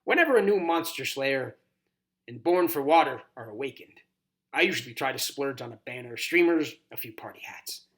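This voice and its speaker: Give the storybook a kindly, ceremonious horned toad, acted out by a man. The voice is grumbly and croaking, with courtly old-fashioned phrasing. Its pitch rises and falls in a very animated way. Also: normal speed, close to an everyday voice.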